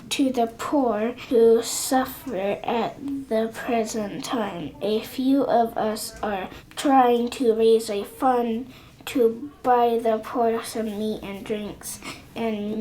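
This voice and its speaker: A child reading a polite gentleman collecting for charity in a school radio play, in a courteous, careful way. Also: fairly high pitch, steady tone, very slow.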